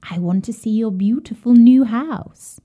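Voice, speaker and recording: A woman voicing a sly male fox who speaks in a soft, whispery, falsely gentle voice. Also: fairly high pitch, measured pace, voice pushed up. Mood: wheedling.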